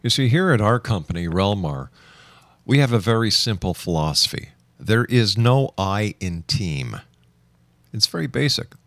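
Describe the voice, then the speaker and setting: A man hosting a radio interview show, speaking in a measured, emphatic way with deliberate pauses. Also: lowish voice, lively intonation, fairly bright tone, no room sound. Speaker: radio talk show host.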